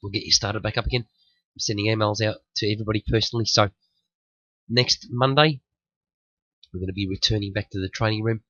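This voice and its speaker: Aussie guy with a cold going over the charts, lowish voice, kinda dull dry recording. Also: fairly even intonation, fairly quick, pauses often, fairly bright tone, no echo. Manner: repetitive, restarts phrases, cautionary. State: sniffly, recovering from flu. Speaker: day trading room host. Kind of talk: market analysis commentary.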